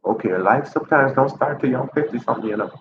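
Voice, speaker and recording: Chatty man in his fifties. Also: fast talker. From Jamaica. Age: 50s. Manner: chatty and upbeat, swings high when making a point. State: stuffy nose from a cold. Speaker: vlogger. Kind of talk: casual vlog talk to camera. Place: apartment indoors. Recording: roomy lo-fi audio with ambient noise.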